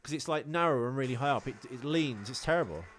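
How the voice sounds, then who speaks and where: Lively British man bantering on a podcast. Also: mid-range voice, fast talker, a little hiss or hum. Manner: sweary, self-deprecating. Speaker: podcast host. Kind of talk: casual banter.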